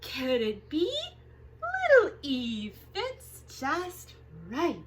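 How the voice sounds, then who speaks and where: A woman reading aloud to young children as a storytime host, expressive and sing-song, drawing out the sound words. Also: high-pitched, very slow, background noise. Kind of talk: animated picture-book read-aloud for young children.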